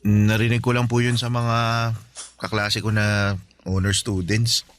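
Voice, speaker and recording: A man's voice: on the low side, little pitch movement, unhurried, a little hiss or hum, close to an everyday voice. An actor playing a polite, slightly shy teenage son who speaks respectfully to his mother in a radio drama. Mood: earnest. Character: polite teenage son who just graduated high school.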